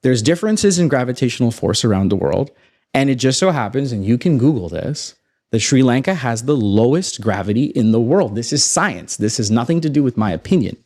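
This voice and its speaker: A young man from Toronto with a North American accent, a guest on a casual podcast, telling his story in an animated, self-assured, emphatic way. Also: medium pitch, a little fast. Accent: North American English. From Toronto, Canada. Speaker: guest, space company founder.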